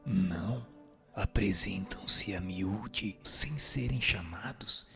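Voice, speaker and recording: A man acting a slow, gravelly, solemn spirit teacher. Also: fairly low pitch, music in the background. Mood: solemn, instructive. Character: solemn male spirit instructor answering questions. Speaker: answering voice in a radio drama. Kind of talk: dramatized reading of a spiritist text.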